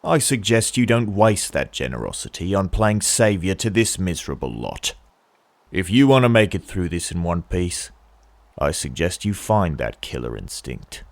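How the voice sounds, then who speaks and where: A hardened mercenary in an audio drama, with a very low, gravelly voice. He is cynical and mocking, and his threats swing animatedly as he delivers them deliberately. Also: very animated, no echo, full character voice. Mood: menacing, cold. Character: menacing cynical male mercenary, a hardened survivor.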